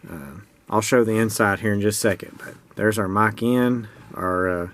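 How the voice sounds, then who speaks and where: Low-voiced American man, casual explainer. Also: normal speed, a bit bright. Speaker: hobbyist presenter demonstrating a homemade radio project. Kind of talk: casual, unscripted show-and-tell explanation.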